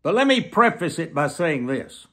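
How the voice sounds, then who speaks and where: An elderly man preaching to the camera in an emphatic, deliberate manner, often repeating phrases for weight. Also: a little high, sing-song, a bit bright. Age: 70s. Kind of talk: sermon to camera. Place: small plain room indoors.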